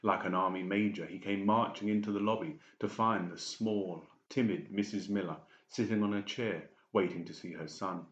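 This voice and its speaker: Low-voiced male narrator, echoey room. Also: fairly even intonation, moderate pace, few pauses. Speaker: audiobook narrator. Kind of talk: dramatic scripted narration. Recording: dull, echoey room recording.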